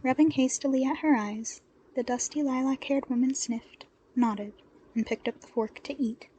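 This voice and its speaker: A woman with a fairly high, husky voice narrates fiction expressively. She reads as a storyteller would, shaping each scene with care. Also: normal speed, dark tone, dull audio. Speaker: podfic narrator.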